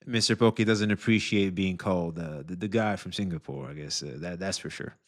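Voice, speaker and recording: A man's voice: sits low, fairly quick. A man co-hosting, reacting casually with jokes and hesitant fillers. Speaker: co-host reacting.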